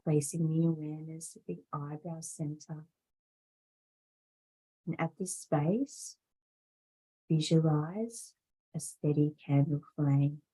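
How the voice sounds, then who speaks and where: A woman yoga teacher guides a meditation in a low, softly mellow voice. Her delivery is very unhurried and soothing, with deliberate phrasing and long pauses. She sounds calm and instructive throughout. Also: slightly rough, rough recording.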